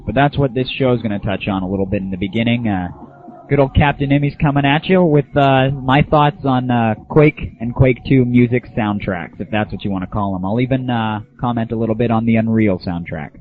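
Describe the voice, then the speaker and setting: A man's voice: medium pitch, fairly quick, slight background noise. A man hosting an internet audio show, giving casual, opinionated solo commentary with irreverent, crude humor. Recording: thin phone-like early internet audio.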